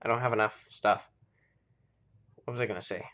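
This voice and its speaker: A man's voice: lowish voice, fairly even intonation, a little fast, bright, forceful tone, highs cut off. An American man hosting a game live stream, chatting casually and rambling as he thinks aloud. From United States. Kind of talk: casual chat while playing a game.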